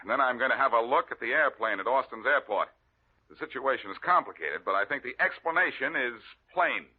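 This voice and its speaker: An actor playing a confident, wry amateur sleuth with an American accent in a 1940s radio detective drama. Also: male voice, medium pitch, animated, husky. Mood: urgent, confident. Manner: wisecracking, brisk. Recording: muffled, narrow old radio recording.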